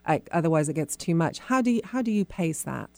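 A woman interviews in an informal, conversational radio style. Her voice is on the low side with a noticeable gravelly creak. She sounds close and dry, and shapes her questions in halting phrases. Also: expressive, no room sound.

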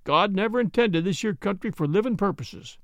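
A man's voice: high voice, animated, brisk, no room sound, studio quality. A man voicing a blunt, weary frontier man in a storybook reading. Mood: glum. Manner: blunt, plain-spoken.

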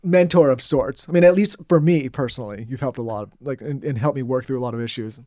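A man's voice: medium pitch, fast, mellow, no echo, studio quality. A man co-hosting and interviewing on a podcast, chatting in an informal, rambling way. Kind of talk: casual chat.

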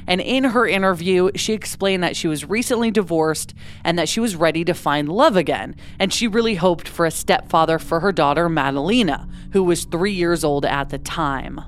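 A woman's voice: on the low side, normal speed, slight background noise. A woman hosting a true-crime podcast, narrating a case in a conversational way with casual asides. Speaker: podcast host.

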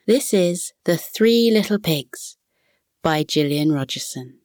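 A woman reading a scripted children's bedtime story as narrator, in a soothing, measured manner. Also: fairly low pitch, lively intonation, a little mellow, no room sound, studio quality.

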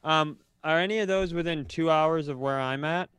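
An American man with an exceptionally smooth, clean voice pitched a little high. He co-hosts a comedy podcast with grumpy, deadpan casual talk, recorded close and dry. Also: moderate pace, dry recording. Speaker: podcast co-host.

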